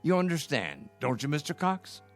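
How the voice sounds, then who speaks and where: Gravelly old grump in radio drama, scoffing and worried, super animated, faint music behind. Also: male voice, fairly high pitch, normal speed. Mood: worried, indignant. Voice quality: creaky, gravelly. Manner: fretful, scoffing, stammering. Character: crotchety, worried old man, stubbornly down-to-earth.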